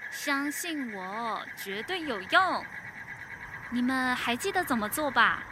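A woman acting a clever, eager young adventurer in an audio drama, with a bubbly, expressive delivery. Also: sits high, takes it slowly, noisy background. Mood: confident, eager.

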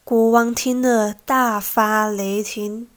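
A woman's voice: on the high side, fairly even intonation, slow pace. A young woman reading scripted narration for a student radio drama. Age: young adult.

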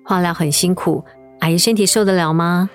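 A woman voicing a caring young niece in a radio drama, gentle and polite in her speech. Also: fairly low pitch, fairly even intonation, fairly quick, soft background music, lightly acted. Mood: concerned.

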